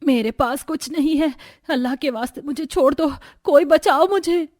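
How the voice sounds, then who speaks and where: A woman voicing a gentle, earnest older lady in a cartoon story. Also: sits high, moderate pace, no echo, pitched above the performer's own voice, close to an everyday voice. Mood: frightened. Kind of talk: Hindi cartoon story dialogue.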